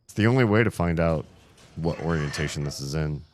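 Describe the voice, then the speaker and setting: A man streaming a puzzle game, grumbling sarcastically about the puzzles while his voice is going hoarse. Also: extremely low pitch, animated, fairly quick, slight background noise. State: losing his voice. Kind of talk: casual live-stream commentary.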